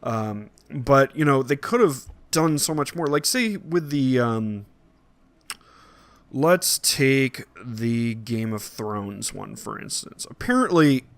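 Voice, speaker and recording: A man's voice: medium pitch, animated, slow, vocal fry, dry recording. A man in a casual, opinionated podcast discussion, explaining his points piece by piece as a co-host.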